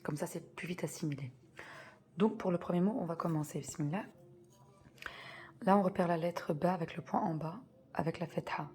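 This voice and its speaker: Woman teaching beginners, low warm voice, slow and careful, repeats every sound. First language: French. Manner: slow deliberate spelling-out of sounds, repeats key words. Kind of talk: patient step-by-step reading lesson.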